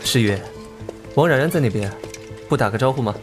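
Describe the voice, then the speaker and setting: A man playing an easygoing, considerate young male student in an animated drama, speaking in a casual, soothing way. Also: medium pitch, fairly quick, soft background music, natural voice. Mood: reassuring.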